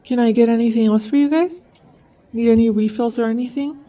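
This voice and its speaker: An actress playing a friendly, attentive diner server with a homely, accommodating manner in an audio drama. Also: female voice, a little high, brisk, mellow, far from the mic, slight background noise, close to an everyday voice. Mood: friendly, helpful.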